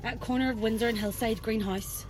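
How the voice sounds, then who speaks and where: A woman's voice: fairly high pitch, steady tone, fairly quick, heavy background noise, lightly acted. An actress playing an irritable, guarded middle-aged woman on the run, with a foreign accent and a curt, sweary manner, in an audio drama. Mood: guarded, impatient. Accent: non-American foreign accent. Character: irritable, evasive escaped convict woman, gruff and impatient. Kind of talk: audio fiction acting.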